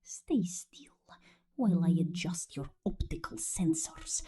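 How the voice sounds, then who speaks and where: A woman's voice: mid-range voice, big pitch swings, takes it slowly, mellow, heavily acted. A woman voicing a possessive, menacing female robot drone in a whispery, intimate ASMR roleplay. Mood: cold, possessive. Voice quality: breathy, whispery.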